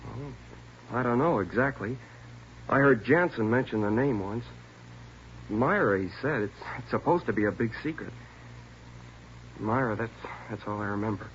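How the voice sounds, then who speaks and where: A man's voice: mid-range voice, normal speed, long pauses, close to an everyday voice. An actor with an American accent playing a frightened, pleading young male gang suspect who stammers and blames others, in 1950s radio drama style. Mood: reluctant, nervous. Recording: muffled, hissy old radio recording.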